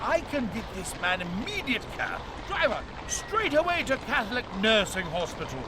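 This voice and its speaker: An actor playing a flustered, indignant Victorian surgeon in a radio drama, proper and full of blustering exclamations. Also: male voice, sits high, very animated, normal speed. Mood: urgent. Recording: clear street noise with horse and vehicle.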